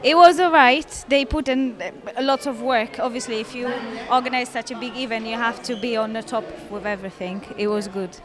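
A woman's voice: fairly high pitch, a little fast, hardly any pauses. A young woman giving short, casual interview answers as an event guest, into a handheld microphone. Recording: loud event crowd behind.

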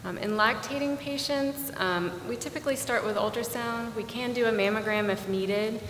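A woman's voice: a little high, normal speed, fairly bright tone, slight background noise. A woman in her thirties, a radiologist on a conference panel, explaining carefully with frequent ums. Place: large conference ballroom. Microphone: table microphone. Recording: echoey hall with long reverb.

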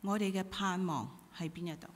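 A woman's voice: on the low side, brisk. A middle-aged woman interpreting a sermon in emphatic, short phrases. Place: church hall pulpit. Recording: reverberant hall through PA.

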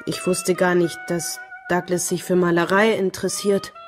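An actress playing a withdrawn, curt, cool grown daughter in a German radio play. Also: female voice, lowish voice, flat delivery, normal speed, over music, lightly acted. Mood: cool, reluctant.

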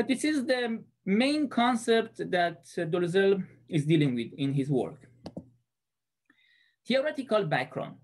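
A man in his thirties with a Persian accent, giving a recorded class presentation from a small room into a webcam, with many fillers and restarts. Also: sits high. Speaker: student presenter.

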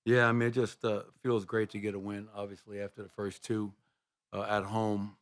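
A middle-aged man, a basketball head coach, answering reporters' questions at a postgame press conference in a press room, speaking into a table microphone with measured answers and frequent fillers. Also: on the low side, flat delivery. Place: press room in front of a sponsor backdrop.

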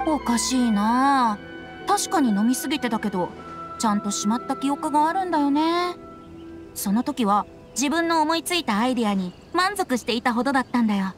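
A woman's voice: sits high, expressive, brisk. A woman voicing a carefree, playful young male wanderer in an anime game, with a breezy, teasing, evasive way of talking. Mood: breezy explaining. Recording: music behind the voice. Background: music.

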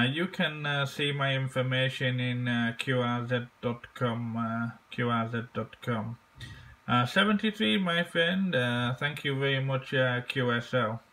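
A man making an amateur radio contact, courteous and halting, often repeating his phrases. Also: medium pitch, bright, forceful tone. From England.

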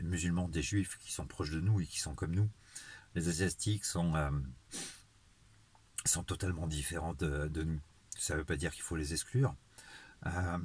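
A man, speaking an earnest, improvised monologue to camera in a halting way with searching pauses. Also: low-pitched, husky. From Marseille, southern France. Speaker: vlogger. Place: outdoors in a town street at night, later inside a car. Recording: noisy phone selfie audio, ambient noise.

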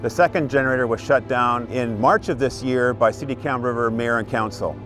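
A man's voice: medium pitch, fairly quick, a bit bright. Man presenting over background music. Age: middle-aged. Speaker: utility spokesman presenting a project update. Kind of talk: scripted narration and on-site presenting. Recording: music behind the voice.

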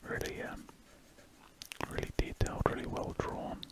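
Breathy whispering British man. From United Kingdom. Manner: rambling, hesitant, with trailing pauses. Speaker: ASMR artist narrating while drawing. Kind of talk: whispered ASMR ramble. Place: indoors at a desk, drawing. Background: pen scratching on paper.

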